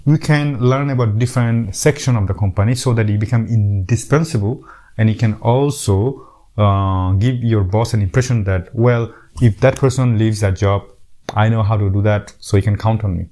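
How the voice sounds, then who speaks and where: A man's voice: lowish voice, fairly even intonation, normal speed, warm tone. A middle-aged man from Bangladesh with a Bangladeshi accent, giving earnest casual advice to camera, often repeating words and restarting his sentences. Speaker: YouTube host.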